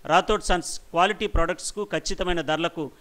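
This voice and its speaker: Higher-pitched male news narrator. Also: quick pace, fairly bright tone. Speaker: news reporter.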